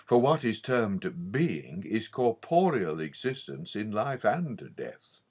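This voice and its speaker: A man reading a theological audiobook in a measured, formal and emphatic manner. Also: on the low side, very animated, a little mellow, slightly rough. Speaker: audiobook reader. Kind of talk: scripted narration of a theological book.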